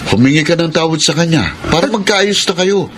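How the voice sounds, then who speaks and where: A man's voice: a little high, expressive, a little fast, background noise, lightly acted. A man acting a concerned, sensible friend who gives advice in a Filipino radio drama. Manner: advising, concerned.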